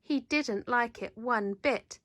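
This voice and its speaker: A woman reading a children's picture book aloud in an animated, dramatic storyteller's manner. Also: fairly high pitch, slow pace, no room sound, clean studio sound. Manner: animated, dramatic, leans into sound words. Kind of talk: expressive read-aloud narration.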